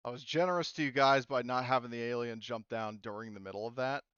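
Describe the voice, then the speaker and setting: A man's voice: medium pitch, quick pace, a bit bright, clear, resonant tone, no room sound, muffled recording. A young man acting as game master, checking rules casually with many fillers. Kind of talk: running a tabletop game with players.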